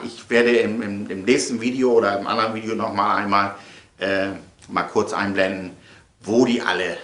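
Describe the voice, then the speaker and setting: Bright, echoey middle-aged man rambling emphatically. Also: lowish voice. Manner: emphatic, theatrical, repeats phrases. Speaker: vlogger and audio play producer. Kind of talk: casual, rambling monologue to viewers. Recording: echoey room.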